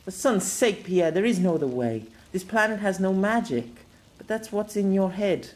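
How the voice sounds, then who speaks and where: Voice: mid-range voice, animated, moderate pace, voice pushed up, natural voice, low-quality recording. A narrator voicing a young magical woman, tearful but resolute, in an audiobook. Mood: urgent. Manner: pleading, emotional. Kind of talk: audiobook character voice.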